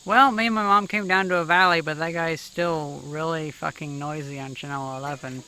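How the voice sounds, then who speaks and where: A man's voice: a little high, fairly even intonation, moderate pace, bright, forceful tone, clear, resonant tone. A man giving casual hobby vlog narration in a tentative, self-deprecating way outdoors. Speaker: vlogger. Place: outdoors in rural countryside. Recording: noisy outdoor field recording.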